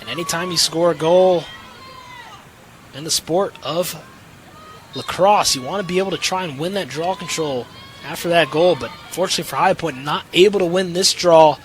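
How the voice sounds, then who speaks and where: A man's voice: on the high side, moderate pace, a little hiss or hum, rough recording. A young man calling play-by-play sports commentary as an announcer. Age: young adult.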